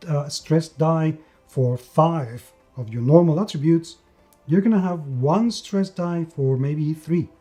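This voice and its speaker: Mellow, higher-voiced man giving an emphatic review. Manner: emphatic, with repetition and dramatic pauses. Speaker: tabletop game reviewer. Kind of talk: conversational video review. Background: background music.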